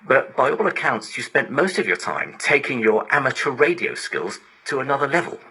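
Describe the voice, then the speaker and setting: A man's voice: medium pitch, sing-song, moderate pace, bright, forceful tone, rough recording. A man interviewing a guest in an engaged, articulate way, heard over shortwave digital radio. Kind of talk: broadcast interview. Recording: shortwave digital radio reception, raspy and harsh.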